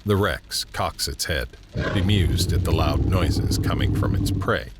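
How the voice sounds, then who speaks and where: A man narrating a scripted audio drama in a measured, descriptive way. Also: rumbling low, animated. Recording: background ambience and noise.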